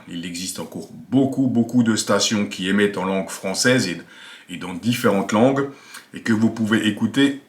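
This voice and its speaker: A man, presenting a casual tutorial in a conversational, explanatory way with frequent tag words. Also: fairly low pitch. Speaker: amateur radio video host. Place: small attic home office, indoors.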